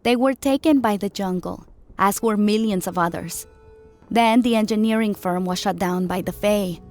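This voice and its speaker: A woman's voice: mid-range voice, normal speed, a little mellow, natural voice. An actress as a proud, candid South American woman. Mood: somber. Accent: South American Spanish. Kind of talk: audiobook character acting. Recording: music behind the voice.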